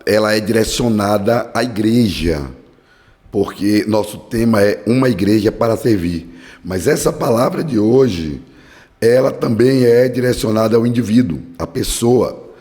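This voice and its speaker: Brief: hoarse male Bible teacher, fervent preaching cadence, long reverberant hall. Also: on the low side, darkish tone. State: hoarse from a cold. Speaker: Bible study podcast host. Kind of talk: devotional teaching with prayer.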